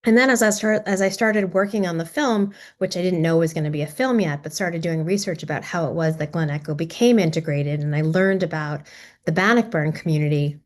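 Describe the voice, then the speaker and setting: A middle-aged filmmaker woman talks through her story, reflective and halting, with lots of pauses and restarts. Her voice sits a little low for a woman. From Maryland, US. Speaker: documentary filmmaker. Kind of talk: casual talk about her film.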